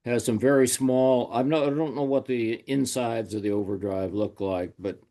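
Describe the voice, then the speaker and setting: Tentative man with a mid-pitched voice. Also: fairly even intonation, moderate pace, warm tone. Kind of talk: casual questions on a group call.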